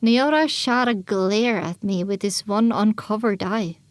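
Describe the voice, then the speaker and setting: A woman's voice: medium pitch, unhurried, dry recording. A woman reading scripted first-person story narration as the narrator of an audio drama.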